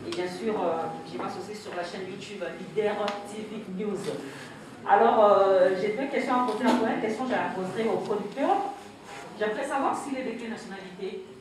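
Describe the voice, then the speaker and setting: A woman's voice: mid-range voice, a little fast, background noise. A woman TV journalist asking a producer questions at a press conference, polite and a little hesitant. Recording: echoey hall from far off.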